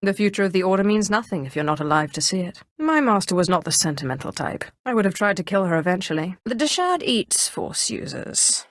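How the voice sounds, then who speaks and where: A woman playing a proud, calculating Sith sorceress in a video game, speaking in a cool, measured, sardonic way. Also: mid-range voice, big pitch swings, no echo, clean studio sound, close to an everyday voice. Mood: cold, menacing. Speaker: video game player character. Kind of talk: scripted video game dialogue acting.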